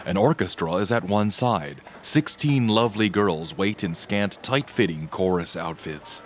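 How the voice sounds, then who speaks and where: Low-pitched male radio drama narrator. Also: measured pace, a little hiss or hum. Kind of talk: scripted old-time radio drama narration.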